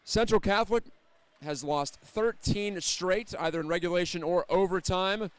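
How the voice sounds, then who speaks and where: A man's voice: high-pitched, normal speed, clean tone, no room sound. An American man doing live play-by-play hockey commentary, describing the action in detail as it unfolds.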